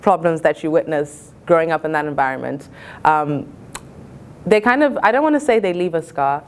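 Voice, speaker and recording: Casting: female college student, early twenties, low register, earnest and reflective delivery. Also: expressive, normal speed. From Zimbabwe. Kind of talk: reflective interview-style talk.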